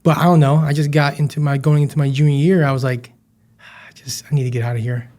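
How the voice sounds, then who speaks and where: A man's voice: on the high side, level tone, fast talker, mellow. A man in his thirties from western New York, a chef telling stories in a chatty, self-deprecating way as a podcast guest. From western New York, USA. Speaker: guest, chef and business founder. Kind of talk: casual interview chat.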